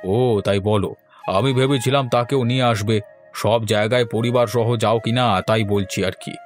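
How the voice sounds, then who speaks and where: A man's voice: medium pitch, fairly even intonation, normal speed, faint music behind, close to an everyday voice. A man playing a generous old grandfather and village landlord in a fairy-tale cartoon, hearty and hospitable. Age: older.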